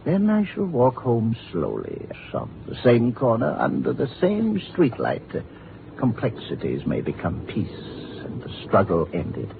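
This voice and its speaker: An actor playing a gloomy, death-welcoming accordion player and murder suspect, with flowery, pompous phrasing in 1950s radio drama style. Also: male voice, mid-range voice, huge pitch swings, a little slow, creaky, full character voice. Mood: morbid, dreamy. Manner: flowery, pompous, poetic diction. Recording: tinny, faintly noisy 1950 radio broadcast.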